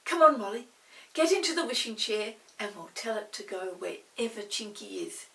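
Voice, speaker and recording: A woman's voice: medium pitch, lively intonation, normal speed, gravelly, fairly bright tone, roomy sound, recorded from a distance. A woman voicing a kind, brave young boy, earnest and reassuring.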